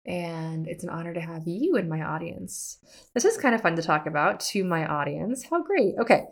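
A woman's voice: on the low side, expressive, normal speed, creaky. A woman hosting an art business podcast, talking casually to listeners with self-deprecating humor and upbeat emphasis. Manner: chatty, laughs at herself, upbeat emphasis. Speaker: podcast host and art educator. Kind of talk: casual teaching monologue.

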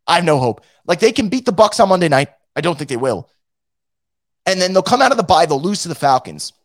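Hoarse, lively-pitched man ranting. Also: quick pace, no room sound, clean studio sound. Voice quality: blunt and profane, with many restarts. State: hoarse, losing his voice. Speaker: fan show host reading live chat. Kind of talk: casual post-game rant.

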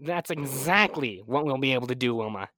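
A young man playing a proud, excitable scientist-inventor in old-time radio serial style, with a fussy, halting, stammering manner. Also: medium pitch, slight background noise. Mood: pleased, proud. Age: young adult. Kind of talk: 1930s radio serial acting.

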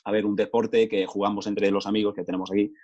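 A man's voice: fairly low pitch, level tone, fast talker, darkish tone, lo-fi sound. A young Englishman with a Spain-Spanish accent, a native English speaker, chatting as a guest in an easygoing, rambling way with many restarts. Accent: Spain Spanish, learned as English speaker. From England. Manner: rambling, self-correcting, easygoing. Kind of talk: casual chat.

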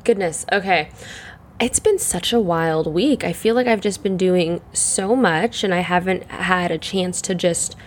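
A young woman hosting her solo podcast, chatting in a rambling way full of fillers, her voice croaky and congested from the morning. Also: fairly low pitch, unhurried, slight background noise. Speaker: podcast host. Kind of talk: casual solo chat.

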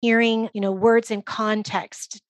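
A woman speaking as a guest expert, giving measured, articulate explanations in an interview with emphasis on key phrases. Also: fairly high pitch, little pitch movement, dry recording. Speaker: guest expert, early literacy organization leader.

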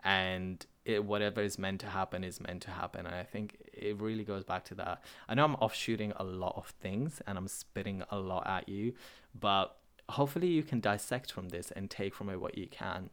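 A man's voice: sits low, moderate pace, fairly bright tone, smooth. A man whose first language is Urdu, hosting a podcast and talking candidly in a rambling, emotional personal monologue. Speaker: podcast host. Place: London.

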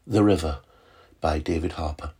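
A man, a library service manager, giving a slow, measured and dramatic poetry reading. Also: rumbling low, darkish tone, slightly rough.

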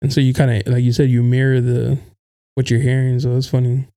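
A man's voice: mid-range voice, completely flat delivery, a little fast, mellow, smooth. A man whose first language is Spanish, hosting a podcast in casual, chatty conversation, laughing often and filling his talk with "like". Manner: chatty, laughs a lot, frequent fillers. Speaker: podcast host.

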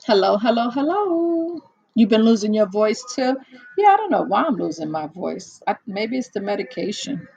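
A middle-aged woman hosting a casual livestream chat, rambling and chatty with lots of fillers and laughs, a little hoarse as she loses her voice. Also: a little high, big pitch swings, moderate pace, a little mellow, dry recording, lo-fi sound. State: losing her voice, slightly hoarse. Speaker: livestream host. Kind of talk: casual chat with live viewers.

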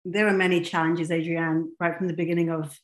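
A woman's voice: lowish voice, level tone, brisk. A middle-aged woman, a life coach telling her own story as an interview guest in an earnest, open way. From raised in England. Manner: earnest and open, speaks frankly. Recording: echoey room.

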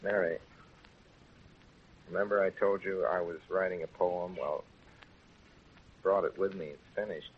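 A man's voice: sits low, fairly even intonation, unhurried, pauses often, a little hiss or hum. An actor playing a wholesome, earnest, shy small-town man in a 1930s radio drama, on a tinny old recording. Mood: wistful, shy. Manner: plain-spoken, earnest. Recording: tinny phone-like 1930s radio, music behind.

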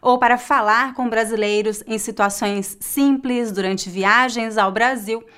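Slow, clear Brazilian woman teacher. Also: on the high side, steady tone, a bit bright. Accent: Brazilian Portuguese. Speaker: Portuguese teacher. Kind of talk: scripted online language lesson.

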